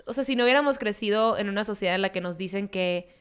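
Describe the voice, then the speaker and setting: A woman's voice: mid-range voice, fast, clean tone. A young woman with a northern Mexican accent, co-hosting a podcast in casual chat and slipping English phrases into her talk. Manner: slangy, mixes in English phrases. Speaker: podcast co-host.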